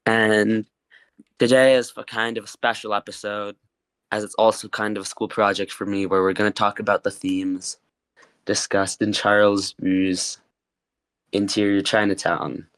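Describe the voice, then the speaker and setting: A man's voice: on the low side, little pitch movement, measured pace, fairly bright tone, clear, resonant tone. A young man from the United States hosting a casual podcast interview, hesitant as he searches for words. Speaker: podcast host.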